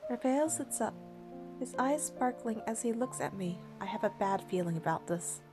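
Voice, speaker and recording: A woman's voice: on the high side, expressive, normal speed, over music. A woman reading game narration and dialogue aloud, with casual commentary of her own.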